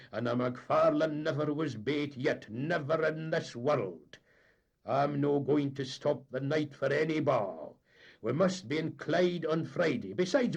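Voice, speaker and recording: A man voicing a vain, boastful Highland boat captain with a Scottish accent. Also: creaky, a little mellow, breathy edge, heavily acted. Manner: blustering, boastful.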